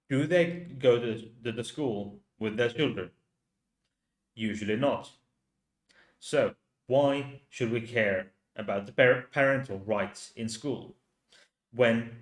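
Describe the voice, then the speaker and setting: Finnish guy streaming, real slow and stop-start, roomy echo all around him. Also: mid-range voice. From Finland. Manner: slow, halting, rhetorical questions. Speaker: channel host. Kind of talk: livestream commentary reading chat.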